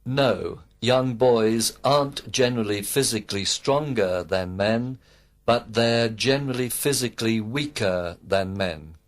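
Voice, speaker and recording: A man giving slow, complete textbook answers as a model student in a recorded English lesson. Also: fairly low pitch. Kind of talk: scripted full-sentence answers.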